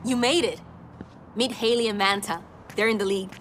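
A woman's voice: medium pitch, fairly even intonation, a little fast, slight background noise, natural voice. A woman playing a fiery, distrustful young street racer in a video game, blunt and streetwise in the way she talks. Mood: determined. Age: young adult. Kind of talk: video game cutscene acting. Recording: loud music over the voice.

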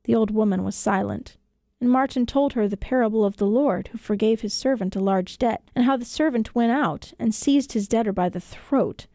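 A woman's voice: on the high side, normal speed, mellow, no echo. A woman reading a children's story as a scripted narration, recorded in a radio studio.

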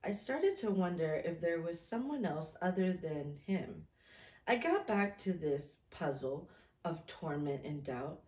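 A woman's voice: lowish voice, animated, a little slow, darkish tone. A woman in her thirties chatting casually and reading aloud in a self-mocking, storytelling way. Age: 30s. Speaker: vlog host. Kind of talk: casual chat and reading aloud from old journal. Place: small room indoors at a table. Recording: echoey room.

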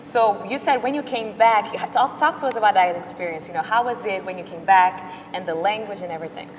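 A young woman from the United States hosting a studio interview, asking measured questions with many "you know"s. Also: medium pitch, long reverb tail, a little hiss or hum, low-quality recording. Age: young adult. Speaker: talk show host. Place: indoor studio set. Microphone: lapel mic.

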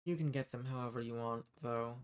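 A man performs an ancient male archdemon in a dark roleplay audio. He is smug and dominant, speaking in a medium-pitched voice. His condescending, amused delivery lingers on the words he wants to stress. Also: fairly even intonation, clear, resonant tone, lightly acted. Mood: businesslike, dark. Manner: condescending, amused, drawn-out emphasis. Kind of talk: scripted roleplay audio monologue.

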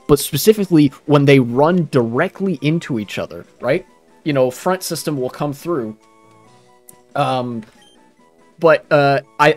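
A young adult man, rambling casually and earnestly as he explains things during a game stream. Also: medium pitch. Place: small room at home, indoors. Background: game music.

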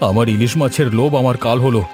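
A man's voice: medium pitch, quick pace, a little mellow, close to an everyday voice. A man playing a gluttonous, shameless freeloading relative in a cartoon story, with a wheedling, demanding manner. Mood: panicked pleading. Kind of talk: Bengali cartoon story voice acting. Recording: music behind the voice.

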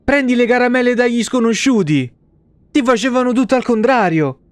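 A young man doing casual, animated live game commentary in slangy language with frequent swearing. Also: extremely high pitch, brisk, dry recording. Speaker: game streamer.

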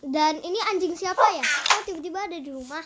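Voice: extremely high pitch, quick pace, a loud crowd. A child co-hosting a game tour in casual commentary on a phone recording. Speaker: co-host guiding the tour. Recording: very noisy phone recording with clicks.

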